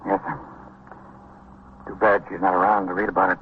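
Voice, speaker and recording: Man playing cool detective lieutenant in old radio drama, low casual voice, kinda wry, dull hissy recording. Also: fairly even intonation, a little fast, lightly acted. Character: coolly observant, world-weary male detective lieutenant. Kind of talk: vintage police radio drama acting.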